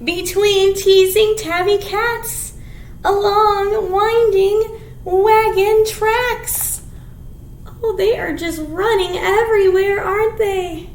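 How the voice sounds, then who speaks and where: A woman with an extremely high voice leads a children's storytime in a roomy, echoing space. She takes her time, stretching words in an exaggerated sing-song as she reads rhymes and picture books. Clear background noise sits behind her. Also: steady tone, takes it slowly. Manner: sing-song, exaggerated, with dramatic gasps and simple explanations for little ones. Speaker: children's storytime presenter at a library. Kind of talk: picture-book read-aloud and rhymes for young children.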